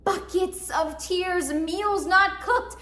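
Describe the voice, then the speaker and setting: Young woman acting a bitter, high-voiced asylum patient. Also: slow pace, exaggerated voice. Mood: indignant. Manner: sardonic, theatrical, emphatic, swinging from hushed to loud. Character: bitter, wry woman patient confined in a mental hospital. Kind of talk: dramatic monologue, competition interpretation. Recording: roomy echo, faint noise, distant mic.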